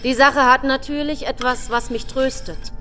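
High, bright, scheming woman. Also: steady tone, normal speed. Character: scheming younger woman, self-justifying, set on marriage. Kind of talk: radio drama inner monologue. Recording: long reverb, music underneath.

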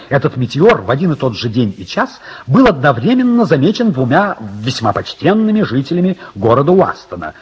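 An actor as a storyteller narrating a radio drama, with dramatic emphasis and dry irony. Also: male voice, medium pitch, slightly rough. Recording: dull radio recording, faint music.

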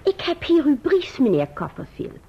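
An actress playing a stiff, dignified elderly maiden aunt in a radio play, husky, on a dull recording. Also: female voice, sits high, wildly swooping pitch, normal speed, a little hiss or hum, full character voice. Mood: formal, stiff.

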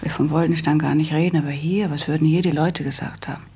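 An actress playing a proud, sensible young widowed daughter who speaks in a dry, reproving way in a German radio play. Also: female voice, low voice, fairly even intonation, fast talker, mellow, full character voice. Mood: weary, dry. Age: young adult. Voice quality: husky, creaky.

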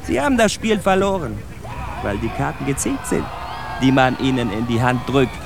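An actor playing a stern, bitter narrator of street gang life in a radio drama, deliberate and emphatic. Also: male voice, medium pitch, lively intonation, vocal fry, a crowd in the background. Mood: bitter, defiant. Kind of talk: dramatic literary monologue in a radio play. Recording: noisy outdoor city street with traffic. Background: cars and urban street ambience.

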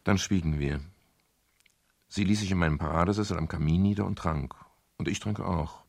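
A world-weary private eye with a very low, gravelly voice. He is laconic and sardonic, in the manner of a radio crime drama. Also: moderate pace. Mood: wry, resigned. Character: dry, weary male private detective narrating his case. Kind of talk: 1970s German radio crime drama, acting and first-person narration.

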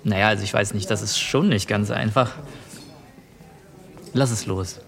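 A man's voice: on the low side, moderate pace, gravelly, faint music behind. An actor playing a matter-of-fact, doubting male academic in radio play dialogue. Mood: dry.

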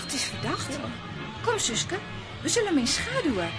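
A woman's voice: high voice, huge pitch swings, normal speed, music in the background. An actress playing a sassy, stubborn, eager little girl in a children's radio play. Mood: suspicious, eager. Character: feisty, stubborn, curious little girl heroine.

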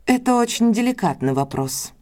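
A woman's voice: a little high, sing-song, normal speed, darkish tone. An actress playing a guarded, anxious young woman in an audio drama, speaking with careful restraint. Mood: defensive, guarded.

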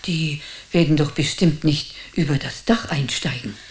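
Low-voiced, wry old grandmother. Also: moderate pace. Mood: anxious. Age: older. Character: wry, eccentric old grandmother in a small village. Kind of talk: radio drama acting.

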